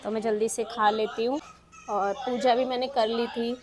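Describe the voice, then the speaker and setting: A woman with a fairly high voice hosts a casual daily vlog. She is chatty and addresses her audience directly, like friends and family. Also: steady tone, moderate pace, over music. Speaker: vlogger filming her daily home routine.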